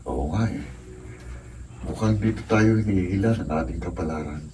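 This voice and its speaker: Respectful, earnest young Filipino seminarian , male actor, radio drama. Low register, off-mic with noticeable noise. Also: normal speed, dark tone, close to an everyday voice. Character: earnest, respectful young seminarian, wistful about his old friend. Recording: noisy, distant amateur recording with room ambience.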